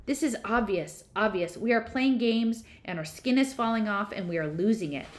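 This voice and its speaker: A woman's voice: a little high, takes it slowly, voice pushed up. A woman voicing a frantic, screaming teenage girl. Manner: yelling, raw, desperate.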